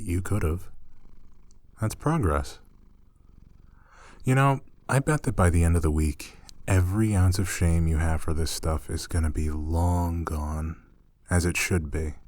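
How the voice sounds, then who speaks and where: Man acting as tender male roommate, low voice, unhurried, coaxing, lightly teasing, intimate ASMR roleplay. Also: a little mellow, dry recording. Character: gentle, teasing male roommate soothing a shy catgirl-like companion.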